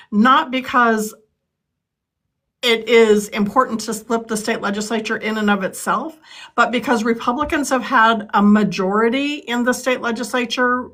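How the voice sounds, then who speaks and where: A woman's voice: fairly high pitch, pushed and bright. A woman in her sixties from California, answering interview questions earnestly, with frequent ums and restarts. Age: 60s. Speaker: political candidate.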